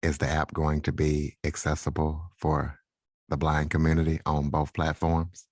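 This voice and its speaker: Very deep-voiced, flat male podcast host. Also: measured pace, dry recording, studio quality. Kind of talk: interview hosting.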